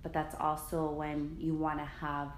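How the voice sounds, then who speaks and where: A woman in casual conversation, talking in a supportive, thoughtful way with many fillers and pauses. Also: sits low, monotone. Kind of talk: casual chat. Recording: echoey room with faint noise.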